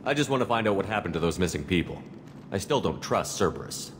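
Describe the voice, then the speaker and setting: A man's voice: sits low, expressive, fast, long reverb tail, background noise. A man voicing a firm, wary military commander in a video game, blunt and direct.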